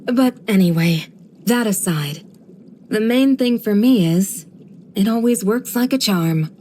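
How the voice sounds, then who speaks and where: A woman voicing a serene, kindly guardian of a desert village in a video game, with a gentle, measured, formal storytelling delivery. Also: mid-range voice, expressive, slight background noise, close to an everyday voice. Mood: amused, modest. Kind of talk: scripted video game character voice lines.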